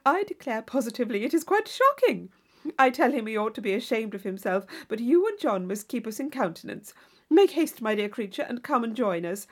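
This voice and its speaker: A woman's voice: on the high side, sing-song, a little fast. A woman voicing a coquettish young lady of fashion in an audiobook, effusive and affectedly protesting. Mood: gushing, playful. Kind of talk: audiobook character voice.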